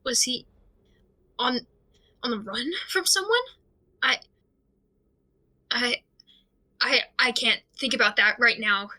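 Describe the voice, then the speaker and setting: Audio drama lead: anxious amateur investigator , played by a woman, higher voice with a tense, sharp edge, halting and stammering monologue. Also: animated, exaggerated voice. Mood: anxious, reasoning. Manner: halting, stammering, rambling, fretful. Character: anxious, overwhelmed amateur investigator talking through a dilemma alone.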